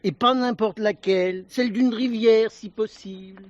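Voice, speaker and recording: An actor playing an eccentric, menacing drifter in a theatrical way, speaking of himself in the third person, in an old television drama. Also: male voice, sits high, fairly even intonation, normal speed, dry recording, close to an everyday voice. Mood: taunting. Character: wild, eccentric local male drifter, touchy and menacing. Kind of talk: 1970s French television drama acting. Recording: dull lo-fi old drama recording.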